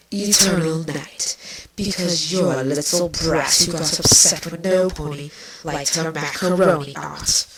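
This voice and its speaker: Woman as a low, slow-spoken venomous changeling queen. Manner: slow, biting, sardonic. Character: bitter, venomous changeling queen, insectlike villainess. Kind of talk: dramatised fan fiction reading. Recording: distant mic, clearly noisy.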